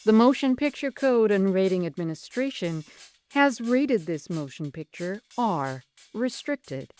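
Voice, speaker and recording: A narrator reading a scripted horror story in deliberate, clipped phrases. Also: mid-range voice, sing-song, darkish tone.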